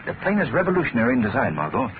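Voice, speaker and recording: An actor playing a shrewd, urgent gentleman detective in a 1930s radio drama, explaining things crisply. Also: medium pitch, wildly swooping pitch, rapid-fire, over a noisy crowd. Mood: grave. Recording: noisy tinny 1930s radio recording.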